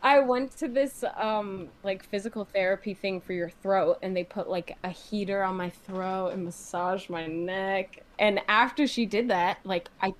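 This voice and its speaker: A woman's voice: steady tone, measured pace, hardly any pauses. Chatty young woman singer. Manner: chatty, fillers, acts out her own reactions with rising pitch. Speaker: singer-songwriter guest. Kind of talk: casual interview chat.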